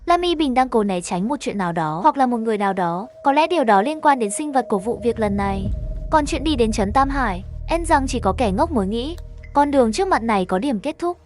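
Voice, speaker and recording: A woman with a high-pitched voice narrates a scripted horror animation story, voicing the dialogue as she goes. Faint music plays underneath her. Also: lively intonation, fairly quick, darkish tone.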